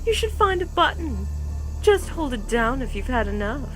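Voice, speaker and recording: A man voicing a calm, sinister female pony schoolteacher in a storybook reading, speaking softly and slowly with quiet menace. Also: very high-pitched, pitched above the performer's own voice, heavily acted. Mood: calm, menacing. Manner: soft, slow and menacing. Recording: distant mic with music behind.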